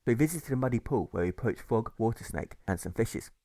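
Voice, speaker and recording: A man reading a rhyming fable as audiobook narration in a measured, deliberate storytelling manner. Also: medium pitch, expressive, dark tone, no echo, clean studio sound. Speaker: author reading his own audiobook.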